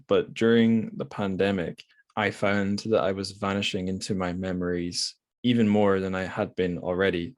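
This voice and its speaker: A man's voice: lowish voice, a little mellow. A man hosting a podcast, talking casually about books in a rambling, hedging, self-deprecating way. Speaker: podcast host. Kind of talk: casual book discussion.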